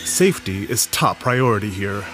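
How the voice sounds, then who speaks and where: A man reading scripted documentary narration in an even, measured way. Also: lowish voice. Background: music.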